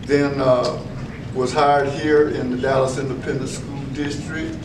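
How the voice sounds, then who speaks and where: An older man speaks slowly in a level, even tone, pausing often with fillers as he gives formal, measured answers. He sits some distance from the mic in an echoey school room. Clear background noise hums under his voice. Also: mid-range voice. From Texas. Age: 70s. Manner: measured, many filler pauses, formal phrasing. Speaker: veteran school educator, interviewee. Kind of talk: reflective interview answers.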